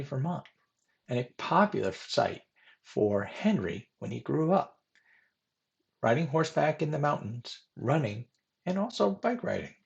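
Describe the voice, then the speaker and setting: A man presents scripted historical narration in a formal, read-aloud way. His pitch moves animatedly up and down across phrases. A noticeable creak gives the voice a gravelly edge. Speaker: presenter reading a biographical history.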